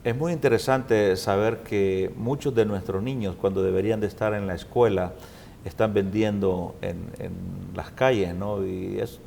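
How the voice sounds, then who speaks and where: A man's voice: on the low side, vocal fry, a little mellow, a little hiss or hum. A man hosting a family counseling show, speaking to listeners in an earnest, preacher-like way and then interviewing a guest. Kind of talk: reflective monologue and interview.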